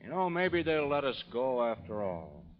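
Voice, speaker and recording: Stoic Old West gunfighter played by a male actor, mid-pitched, laconic, understated vintage radio drama delivery, muffled recording. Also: big pitch swings, moderate pace, close to an everyday voice. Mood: grim, controlled. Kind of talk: 1930s radio drama acting.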